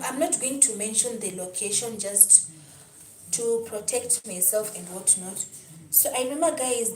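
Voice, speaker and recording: A woman's voice: a little high, echoey room, far from the mic, a little hiss or hum, poor audio. A young Kenyan woman with a Kenyan accent, hosting a casual livestream, reading comments aloud and telling a personal story. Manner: chatty, answers chat comments, storytelling. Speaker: livestream host. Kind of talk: casual livestream talk with viewers.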